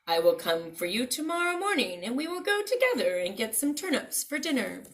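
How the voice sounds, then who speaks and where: A woman voicing a loud, hungry, scheming male storybook wolf who coaxes and threatens in a booming way. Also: sing-song, fairly quick, full character voice. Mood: sly coaxing. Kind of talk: storybook read-aloud.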